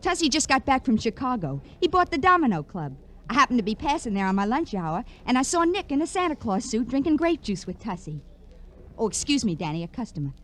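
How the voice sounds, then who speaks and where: Brash high-voiced New shopgirl. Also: sing-song, brisk. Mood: wistful, confiding. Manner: slangy, wry, breezy. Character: brash wisecracking young reformed shoplifter, now lingerie salesgirl. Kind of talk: 1940s-50s radio crime drama acting. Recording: vintage radio recording with noise.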